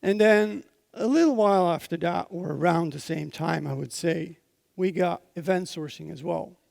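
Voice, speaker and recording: A man's voice: fairly high pitch, moderate pace, creaky, darkish tone, no echo. A man from Belgium with a Belgian accent gives a technical conference talk, explaining things informally and often checking in with his listeners. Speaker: conference speaker, software consultant.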